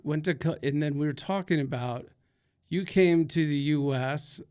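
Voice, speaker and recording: A man's voice: fairly high pitch, normal speed, a little mellow. A man hosting a podcast in a casual, chatty way, making self-mocking jokes and building long analogies while asking questions. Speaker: podcast host. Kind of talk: casual interview conversation.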